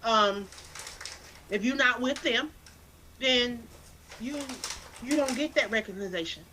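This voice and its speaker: A woman from South Carolina with a Southern accent talks animatedly as an interview guest. She often repeats words as she builds up a point, with a preacherly drive. Her voice sounds bright and forceful. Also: a little high, slow pace, a little hiss or hum, poor audio. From Anderson, South Carolina. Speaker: guest, literacy campaign founder. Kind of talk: casual interview talk.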